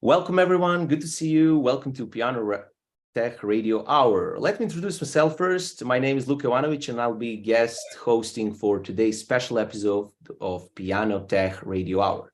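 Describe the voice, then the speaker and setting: Brief: young Serbian-accented man, guest host on a video call, friendly and easygoing, mid-pitched voice. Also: moderate pace. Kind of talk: live online show hosting.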